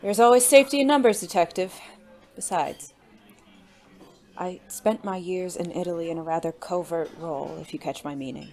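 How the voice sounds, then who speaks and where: An actor playing an unflappable, secretive gentleman in a noir audio drama, speaking with teasing formality. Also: medium pitch, moderate pace, faint music behind, lightly acted. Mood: coolly guarded. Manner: genteel, arch, formal diction.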